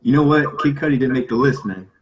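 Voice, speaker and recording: A man's voice: medium pitch, fast talker, husky, low-quality recording. A man co-hosting a podcast in casual, colloquial banter, often repeating phrases for emphasis. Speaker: podcast co-host.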